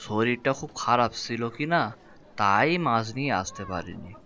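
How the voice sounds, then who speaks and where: Man acting a folksy, deep-voiced cartoon boatman. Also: lively intonation, normal speed, pushed and bright. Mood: chatty, coaxing. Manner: colloquial, folksy, hesitant, repeating words. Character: humble boatman turned fisherman, wheedling, henpecked husband. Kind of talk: animated folk tale voice acting. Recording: dull lo-fi sound with music behind.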